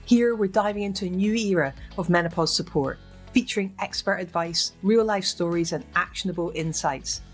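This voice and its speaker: A woman's voice: fairly low pitch, moderate pace, soft background music. A woman hosting a podcast, sounding upbeat and enthusiastic as she introduces the show and her guest. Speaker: podcast host. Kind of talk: scripted podcast intro then conversation.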